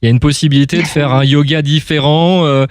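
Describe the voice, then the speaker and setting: A man's voice: a little high, steady tone, fast, smooth, dry recording. Male radio host, close dry sound. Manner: hesitant, repeats and restarts his words. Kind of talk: casual live radio interview.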